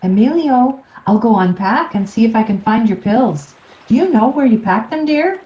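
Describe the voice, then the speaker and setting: Woman playing a mellow doting older wife, roomy. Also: a little high, animated, moderate pace, natural voice. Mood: doting, cheerful. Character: cheerful, doting older wife, politely oblivious. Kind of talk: radio play acting. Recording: muffled, roomy recording.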